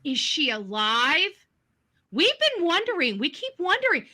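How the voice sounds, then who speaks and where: A woman's voice: high-pitched, very animated, a little slow, pushed and bright. A woman streamer chatting casually to her viewers in a theatrical, exclamatory way. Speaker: online livestreamer. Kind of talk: casual livestream chat.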